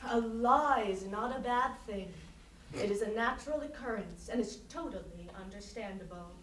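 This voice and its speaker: A woman's voice: medium pitch, moderate pace, fairly bright tone, close to an everyday voice. A woman playing a domineering, philosophizing interviewer in a staged play reading, making grandiose theatrical pronouncements. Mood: grandly philosophical. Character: domineering, philosophizing interviewer pushing another to abandon ego. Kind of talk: staged play reading before a live audience. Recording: echoey stage from far off, audience noise.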